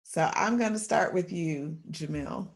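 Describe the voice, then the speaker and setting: A woman's voice: lowish voice, measured pace. A woman hosting a podcast interview, asking questions and affirming her guests.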